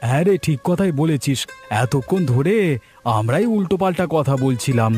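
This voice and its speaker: Guy doing village fisherman dad in a cartoon, devoted hardworking type, kinda high voice, bit of music behind. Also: lively intonation, a little fast, warm tone, close to an everyday voice. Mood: chatty, accepting. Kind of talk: Bengali moral cartoon dubbing.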